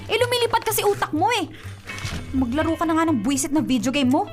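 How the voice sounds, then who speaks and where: A woman's voice: high voice, very animated, fast, soft background music. A woman with a Filipino accent playing a hot-tempered, sarcastic young girlfriend in a radio drama, nagging emphatically. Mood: angry, sarcastic.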